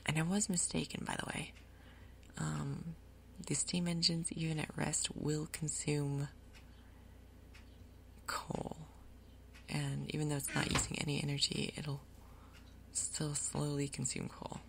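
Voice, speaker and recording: Low, creaky soft-spoken woman, whispery. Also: flat delivery, slight background noise. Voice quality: soft, whispery, breathy undertone. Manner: unhurried, casual, thinking aloud. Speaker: gaming video host. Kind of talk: soft-spoken ASMR Minecraft gameplay commentary.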